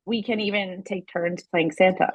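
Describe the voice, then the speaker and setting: A woman playing a gentle, tentative mother and soon-to-be ex-wife in an audio play, speaking haltingly. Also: medium pitch, steady tone, no room sound, natural voice. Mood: tentative, warm.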